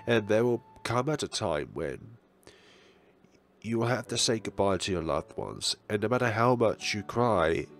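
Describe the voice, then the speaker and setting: A man talking in an earnest, reflective, halting way as a gaming channel host. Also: fairly low pitch, lively intonation, soft background music. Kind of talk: reading visual novel text, reflective commentary.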